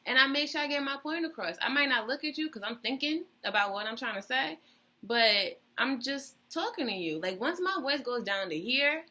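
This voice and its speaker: A woman's voice: sits high, lively intonation, moderate pace, sharp tone. A young adult woman in casual chat with a friend, speaking directly and dropping playful asides. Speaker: co-host.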